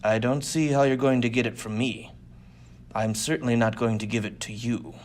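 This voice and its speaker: A man's voice: medium pitch, fairly even intonation, normal speed, darkish tone, a little hiss or hum, pitched below the performer's own voice, lightly acted. Guy playing a huge deadly tough guy in an adventure audiobook, cool and terse, never backs down. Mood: calm menace. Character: very large, dangerous, unflinching male fighter.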